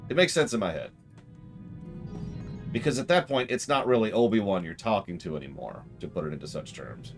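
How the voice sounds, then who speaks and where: Low-voiced man streaming, lively and discursive. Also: a little fast. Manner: discursive, explanatory, thinking aloud. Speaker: game streamer. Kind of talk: casual live stream commentary. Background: game music.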